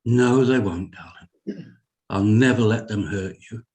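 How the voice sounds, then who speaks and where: A man playing a gentle, intelligent doctor and father in a dramatic script reading, speaking in a soothing, reassuring manner. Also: lowish voice, a little slow, natural voice. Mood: protective, calm. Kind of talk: dramatic script table read.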